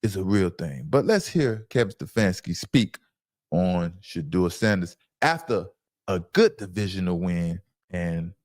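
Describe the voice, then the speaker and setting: A man's voice: low voice, expressive, measured pace, a little mellow, no echo, studio quality. A man hosting a sports reaction show, giving opinionated commentary in an emphatic, insistent way and repeating phrases for stress.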